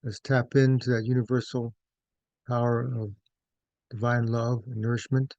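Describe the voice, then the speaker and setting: A man leading a guided meditation as instructor, speaking in measured, gentle phrases with pauses. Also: medium pitch, little pitch movement, dry recording, highs cut off.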